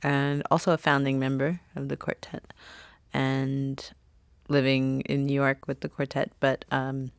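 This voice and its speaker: A woman from Pennsylvania, a first violinist guest, giving soft-spoken, thoughtful explanations in a radio studio. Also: rumbling low, level tone, a little slow, vocal fry, smooth, no room sound. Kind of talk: relaxed interview answers.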